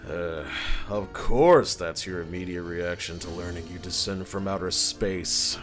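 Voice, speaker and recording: A man voicing a suspicious, hot-tempered young echidna guardian with confrontational, skeptical delivery in an animated fan dub. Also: low-pitched, unhurried, faint music behind.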